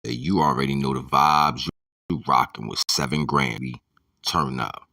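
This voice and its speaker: A man delivering a slangy hype shout-out. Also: rumbling low, steady tone, measured pace, no echo, clean studio sound, far from the mic.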